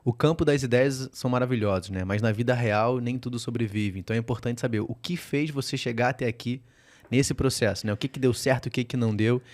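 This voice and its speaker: A man's voice: mid-range voice, brisk, a little mellow. A man hosting a podcast, talking casually and addressing viewers directly in a friendly, informal way. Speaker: podcast host.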